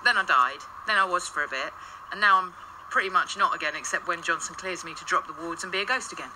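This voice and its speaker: A woman's voice: on the low side, fast, bright, forceful tone, a little hiss or hum, low-quality recording. A woman playing a wry, breezy female paranormal agent in an audio drama, with dry sarcasm.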